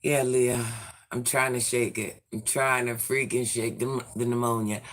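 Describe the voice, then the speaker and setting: A man in his forties, hoarse from illness, chatting sassily with viewers on a livestream and reading out their comments. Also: medium pitch, level tone, measured pace, a bit bright. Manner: sassy, chatty, addresses viewers by name. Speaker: livestream host.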